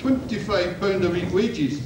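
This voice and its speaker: A man's voice: high-pitched, a little slow, background noise. A man with a Scottish accent playing a hearty, earnest Santa Claus, projecting his lines in an amateur pantomime. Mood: excited. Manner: projected, declamatory. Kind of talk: amateur stage pantomime acting. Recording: echoey 1980s hall video, distant, loud music.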